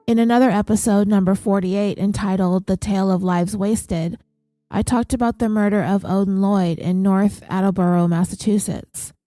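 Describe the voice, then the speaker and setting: A woman narrates her true crime podcast in a steady, even read. Her voice is a little nasal and stuffed up from congestion, with a mellow, warm tone. The recording is close and dry, with no room sound. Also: medium pitch, normal speed, dry recording, soft background music, rough recording. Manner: reads ads and listener names in an even, steady delivery.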